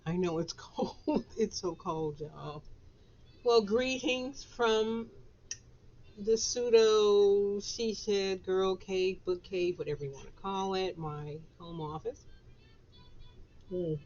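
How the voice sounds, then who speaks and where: A woman in her sixties with a Southern US accent, raspy after a flu, chatting casually to camera from her home office. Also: mid-range voice, a little mellow, lo-fi sound. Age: 60s. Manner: chatty, drawn-out, laughs between phrases. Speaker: author and vlogger.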